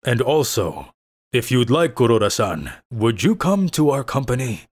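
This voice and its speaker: A man's voice: mid-range voice, big pitch swings, slightly rough. Serious, formal middle-aged father played by a man. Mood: grave, grateful. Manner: formal, grateful, measured. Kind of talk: dubbed manga story acting. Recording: music under the voice.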